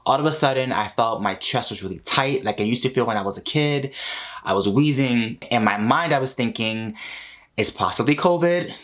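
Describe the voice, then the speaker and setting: A man in his thirties, a vlogger, telling a personal story to camera in a lively, expressive way from a small home studio. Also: medium pitch, moderate pace. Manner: animated, expressive, laughs between lines.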